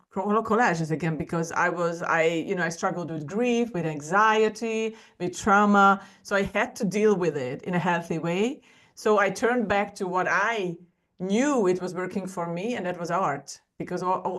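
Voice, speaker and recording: A woman's voice: lowish voice, fairly bright tone. A woman in her forties from Hungary with a Hungarian accent, telling her story as a podcast guest, earnest and often restarting her sentences. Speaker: guest artist. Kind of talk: podcast interview.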